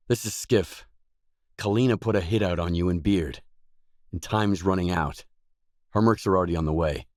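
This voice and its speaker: An actor playing a gruff, guarded lone mercenary in a video game, speaking in a terse, stern, matter-of-fact way. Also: male voice, fairly low pitch, darkish tone, no room sound, studio quality. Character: gruff, guarded lone mercenary man, blunt and cool-headed. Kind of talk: scripted game dialogue lines.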